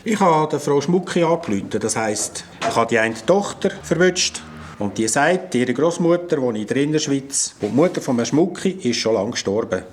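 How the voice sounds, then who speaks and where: A man's voice: medium pitch, expressive, moderate pace, natural voice. A man with a Swiss German accent playing an earnest police officer at a small station in a children's radio play, talking plainly and a little hesitantly. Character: earnest male desk policeman. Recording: noisy recording.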